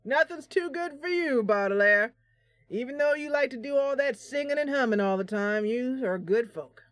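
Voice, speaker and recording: Woman acting grudging, halting village trader man. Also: sits high, expressive, no echo, voice pushed up. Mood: hearty, friendly. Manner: reluctant, halting, musing. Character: gruff, grudging male trading post keeper, plain-spoken villager.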